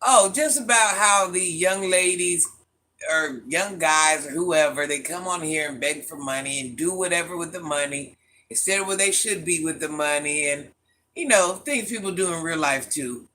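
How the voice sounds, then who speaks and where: Woman livestream host, sharp bright voice, teasing and chatty with her chat. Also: sits low, normal speed, a little hiss or hum. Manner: teasing, talks straight to viewers by name, laughs often; calls herself Granny. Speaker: livestream host reading viewer comments.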